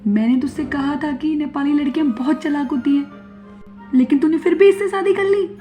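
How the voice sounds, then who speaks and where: Woman acting a dramatic, high-voiced scolding mother-in-law. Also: a little fast, mellow, close to an everyday voice. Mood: dismayed, scolding. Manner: dramatic, exclaiming, complaining. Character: middle-aged Indian mother-in-law, suspicious, scolding, dramatic. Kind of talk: Hindi animated moral story acting. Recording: dull lo-fi audio, music behind.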